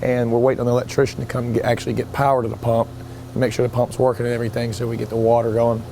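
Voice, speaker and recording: A foreman gives a quick, matter-of-fact progress update outdoors at a windy beach-side job site. He talks fast in a flat, level tone, with faint outdoor noise behind him. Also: mid-range voice, gravelly. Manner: brisk, matter-of-fact, working-crew talk.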